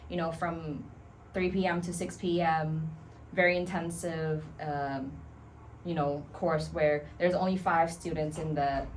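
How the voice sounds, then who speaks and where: A woman in her thirties from Thailand, answering interview questions in a measured, thoughtful way with many pauses. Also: sits low, little pitch movement, slight background noise, rough recording. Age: 30s. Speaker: guest.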